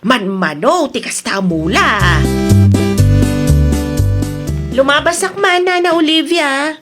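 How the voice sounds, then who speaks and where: A woman playing a boastful, excitable older wife with an Ilocano accent in a comedy drama, exclaiming and drawing out her words. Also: high voice, a little slow, loud music behind the voice. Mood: proud.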